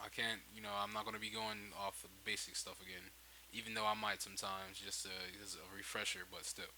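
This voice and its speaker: A man's voice: deep voice, level tone, a little fast, bright, forceful tone, poor audio. A man casually narrating a screen-recorded tutorial, thinking aloud in an informal way on a hissy home microphone. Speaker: game-making tutorial host.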